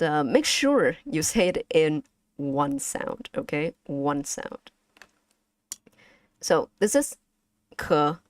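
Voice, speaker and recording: Young Vietnamese woman, streamer teaching her chat; low and unhurried, halting with fillers, dry close mic. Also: no room sound. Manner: halting, slow and careful, with frequent fillers. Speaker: vtuber streamer teaching language. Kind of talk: casual language lesson to chat.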